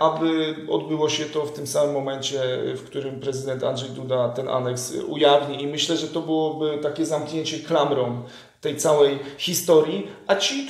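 A man in his thirties, a journalist earnestly interviewing a guest, with many fillers and restarts. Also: on the high side. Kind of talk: political interview. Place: room with leather sofa, indoors. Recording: echoey room.

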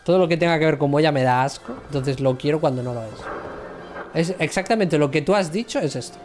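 A man in his thirties jokes with his viewers in casual banter while he plays, often mocking himself. His voice sits a little high. Faint game music plays behind him as he talks into a desk mic at home. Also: brisk. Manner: joking, self-mocking. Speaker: livestream gamer. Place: room at home, seated in gaming chair.

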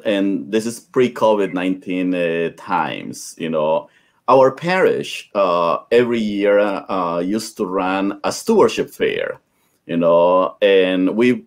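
Male panelist, low-pitched, relaxed storyteller with fillers over webcam. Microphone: webcam on video call.

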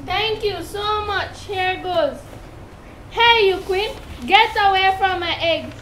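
A woman's voice: extremely high pitch, takes it slowly, fairly bright tone, full character voice. A woman playing a frightened mother turtle guarding her eggs in an amateur puppet show, pleading and dramatic. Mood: defiant. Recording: noisy live puppet show.

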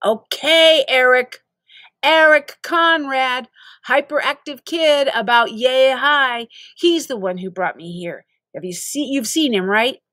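Woman voicing a high-pitched, panicky young boy. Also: takes it slowly, sharp tone, dry recording, heavily acted. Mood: exasperated. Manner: shrieking, pleading, protesting. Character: panicked, exasperated sixth-grade boy thrust into a video game.

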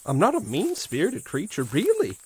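A man's voice: on the high side, wildly swooping pitch, normal speed, a little mellow, slight background noise, low-quality recording. A man playing a proud, mischievous living scarecrow who guards a farm, musing in a theatrical, pompous way in an audio drama monologue. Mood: proud, scheming. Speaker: voice actor.

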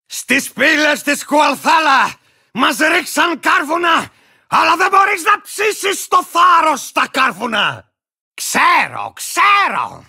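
A war-crazed little creature from a video game, boastful and hot-tempered. The voice is piercingly bright and full of gravel, swinging wildly up and down. It rants and barks like a pompous, unhinged commander. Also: male voice, big pitch swings, exaggerated voice. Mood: gruff, bloodthirsty. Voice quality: gravelly, shrill, cracked. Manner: ranting, pompous, barking, bursting into cackles. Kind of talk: cartoonish video game character acting.

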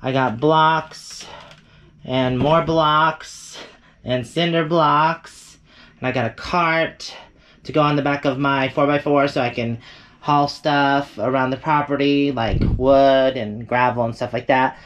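A woman's voice: a bass voice, fairly even intonation, takes it slowly. Chatty woman vlogging over road noise. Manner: chatty, talks to camera while driving and walking. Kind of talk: casual vlog chat. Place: outdoors and in a truck. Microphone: camera at arm's length. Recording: muffled with loud road noise.

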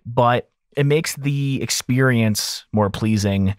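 A man's voice: mid-range voice, takes it slowly, no echo, studio quality. A man explaining audio mixing in a casual tutorial style, informal and thinking aloud as he goes.

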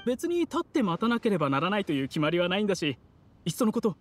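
A man's voice: high-pitched, big pitch swings, fast, slight background noise. An actor playing a polite, conciliatory young male scholar in an anime video game, courteous and placating.

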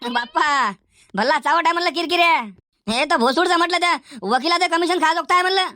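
A man's voice: very high voice, quick pace, bright, forceful tone, no echo, clean studio sound, full character voice. A man voicing a feisty, henpecked old village uncle in a comedy cartoon, with a Varhadi Marathi accent and emphatic, repetitive phrasing. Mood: teasing, wry. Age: older.

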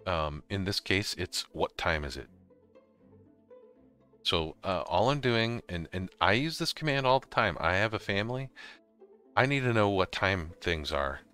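A middle-aged man explaining software step by step in a casual, informal tutorial style. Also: deep voice, expressive, unhurried, smooth, soft background music. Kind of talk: casual screen-recorded walkthrough.

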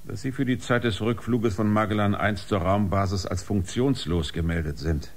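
Low-voiced, formal spaceship commander. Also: male voice, normal speed. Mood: official. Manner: clipped, official, matter-of-fact. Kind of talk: German science fiction radio drama acting.

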